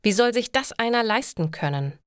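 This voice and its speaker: A woman's voice: fairly high pitch, animated, moderate pace, clear, resonant tone, dry recording, clean studio sound, close to an everyday voice. A woman voicing a practical, thrifty woman in an audio story, earnest and fretting over household matters. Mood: exasperated.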